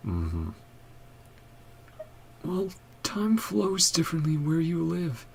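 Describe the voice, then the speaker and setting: A woman's voice: low voice, very animated, takes it slowly, gravelly, a little mellow, slightly rough. A woman streamer giving a casual gameplay reaction, musing in short remarks and reading dialogue aloud. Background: game music and dialogue.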